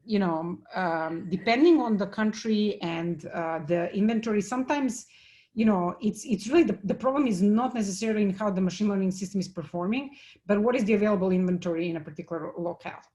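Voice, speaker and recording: Halting woman giving a tech talk. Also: medium pitch, warm tone. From Serbia. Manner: halting, many fillers and restarts. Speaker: conference speaker. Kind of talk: technical talk with Q&A.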